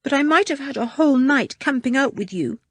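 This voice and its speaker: A woman reading a panicky, frightened young boy in an audiobook, with shrill, breathless pleading. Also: expressive, a little fast, dry recording. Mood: defensive.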